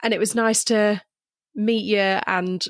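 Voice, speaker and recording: Southern English woman, chatty podcast host, quick to laugh, lively delivery. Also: mid-range voice, dry recording, studio quality. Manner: giggly, quick-laughing, animated. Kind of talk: casual podcast chat.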